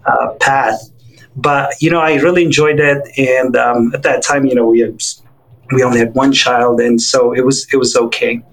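A man's voice: mid-range voice, normal speed, rough recording. Filipino-raised man telling his life story. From Philippines. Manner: relaxed storytelling with fillers and restarts. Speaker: interview guest.

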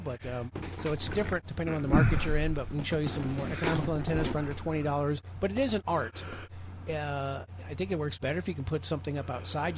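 An American man with a slightly high voice talks informally to a group. He explains things in a rambling way. The meeting audio has faint background noise. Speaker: club meeting presenter. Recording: clearly noisy online meeting audio.

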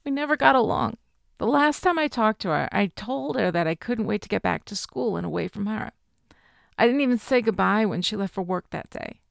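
A woman's voice: mid-range voice, animated, a little fast, vocal fry, no echo, clean studio sound. A woman voicing a grieving young college student, tearful and bitter, in an audiobook.

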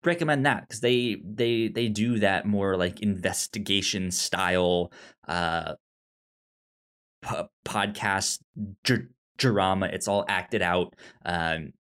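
A man chatting casually as a podcast co-host, halting as he thinks aloud. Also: low voice, a bit bright. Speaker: podcast co-host giving recommendations. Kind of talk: casual film discussion chat.

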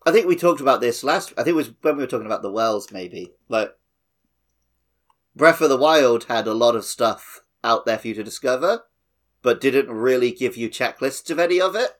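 Lively man chatting on a gaming podcast. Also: medium pitch, moderate pace. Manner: jokey, drops film quotes. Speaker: podcast host and editor. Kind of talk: casual gaming podcast chat.

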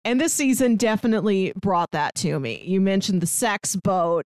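A woman's voice: medium pitch, expressive, normal speed, a little mellow, dry recording. A woman co-hosting a podcast, chatting casually about a TV show, often laughing between phrases. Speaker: podcast co-host. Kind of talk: casual chat reviewing a TV show.

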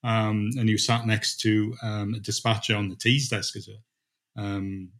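A middle-aged man answers interview questions informally. He speaks haltingly, thinking aloud as he explains. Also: lowish voice, flat delivery, a bit bright. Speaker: dispatch manager being interviewed.

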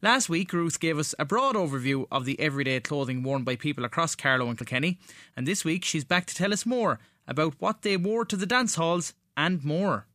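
An Irish man hosts a radio programme with scripted links. His voice is on the high side and brightly projected, recorded in a very dry studio. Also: lively intonation, brisk, no room sound. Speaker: radio show host.

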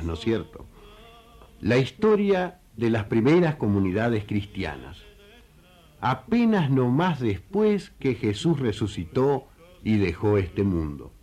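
A man's voice: on the low side, a little mellow. A man hosting and narrating a radio story, talking to listeners conversationally as friends. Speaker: host and narrator.

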